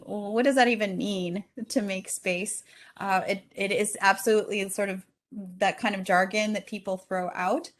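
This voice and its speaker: Woman from Chile, speaker at an online talk. Conversational, average pitch, lots of filler and self-correction. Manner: conversational, many ums and restarts. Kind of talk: conference talk.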